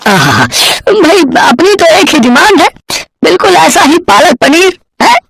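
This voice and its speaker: A woman's voice: very high voice, big pitch swings, a little slow, creaky, a bit bright, full character voice, low-quality recording. A woman voicing a hearty, well-off male bird customer in a children's cartoon, talking in a folksy, effusive, chatty way. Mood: effusive, jovial. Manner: folksy, effusive, full of exclamations. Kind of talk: children's cartoon story acting.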